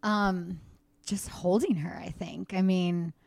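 A woman's voice: on the low side, steady tone, slow, gravelly, a little mellow, no room sound. Chatty woman podcast host, dry sound. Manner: candid, chatty, answering fan questions. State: pregnant. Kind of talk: casual chat.

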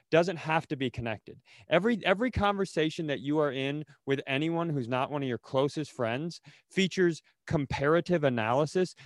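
A man's voice: on the high side, no room sound. A man co-hosting a podcast, ranting casually in an exasperated, sweary, rambling way with frequent restarts. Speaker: podcast co-host.

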